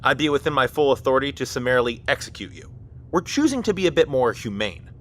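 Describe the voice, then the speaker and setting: A man's voice: medium pitch, big pitch swings, fast talker, slight background noise, natural voice. A man playing a stern, by-the-book military officer in an audio drama, speaking formally and procedurally. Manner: formal, procedural, addresses others as sir and ma'am.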